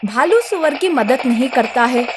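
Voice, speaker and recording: A woman's voice: high-pitched, expressive, measured pace, slight background noise. A woman narrating a scripted children's story as a storyteller.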